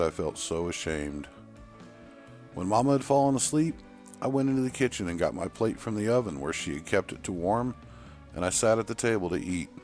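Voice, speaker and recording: Man voicing a wistful woman remembering, animated pitch. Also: natural voice. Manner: earnest, reflective storytelling. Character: wistful woman remembering her trucker father. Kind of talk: acted dialogue in an audio story.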